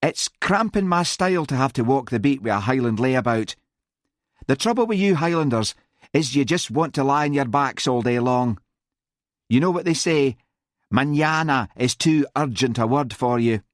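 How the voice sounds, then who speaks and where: Guy doing a whiny scottish policewoman, sing-song and higher pitched, sour and contemptuous, audiobook style. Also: expressive, moderate pace, dry recording, clean studio sound, close to an everyday voice. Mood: self-righteous. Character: sour, contemptuous young policewoman, whining and accusing.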